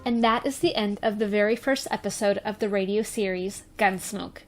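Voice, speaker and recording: A woman's voice: mid-range voice, fairly even intonation, normal speed, music in the background. A woman reading a scripted outro as the announcer of a radio drama podcast.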